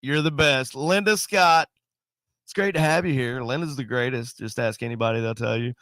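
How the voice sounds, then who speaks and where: A nasal man with a stuffy sinus cold, co-hosting a paranormal chat show in a loose, rambling way. He jokes around and calls out viewers' names with bursts of energy. His voice sits at a middle pitch and sounds close and dry, with no room echo. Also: moderate pace. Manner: rambling, joking, animated shout-outs to viewers. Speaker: podcast co-host. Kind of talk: casual chat.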